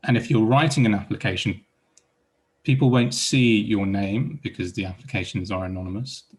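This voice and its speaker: A man's voice: lowish voice, moderate pace, a little mellow, breathy edge. A man from Iran speaking on a panel as a psychologist, hesitant and full of repeated words and restarts. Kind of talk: panel discussion.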